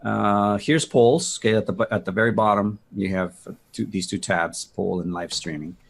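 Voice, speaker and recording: A man with a gravelly, husky voice giving a casual software walkthrough on a video call, thinking aloud hesitantly. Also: on the low side, moderate pace. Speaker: guest demonstrating software.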